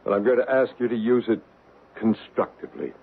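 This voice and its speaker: An actor playing a calm, authoritative paranormal investigator in a vintage radio drama, speaking in a measured, probing way. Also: male voice, mid-range voice, gravelly, natural voice. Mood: calm, instructive. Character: calm, authoritative male paranormal investigator narrating his case. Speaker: psychic investigator and narrator. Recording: noisy, narrow-band old radio broadcast.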